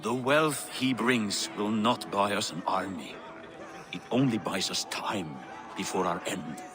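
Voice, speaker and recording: A man's voice: on the low side, a little slow, music in the background, crowd noise, close to an everyday voice. An actor playing a grim, doubting old Viking king with a stern, weighty manner in a video game cutscene. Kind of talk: dramatic game cutscene dialogue.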